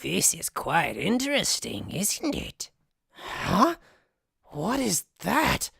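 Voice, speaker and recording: A man playing an eccentric, arrogant, gleefully sinister mad scientist in an anime fan dub, with haughty, theatrical, drawn-out delivery. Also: high-pitched, huge pitch swings, vocal fry, sharp tone, husky, no echo, clean studio sound, exaggerated voice. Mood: fascinated.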